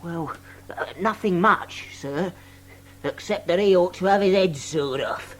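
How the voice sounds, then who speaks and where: An actress playing a dim young male rat in an audio drama, with a husky voice and halting, uneducated speech. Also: on the low side. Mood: sullen. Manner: halting, slow-witted, uneducated.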